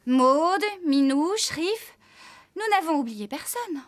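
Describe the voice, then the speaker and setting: An actress playing a brisk, caring young woman farmer in a French children's cartoon, giving animated, clear instructions. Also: high-pitched, no room sound, studio quality. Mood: brisk, cheerful. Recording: music under the voice.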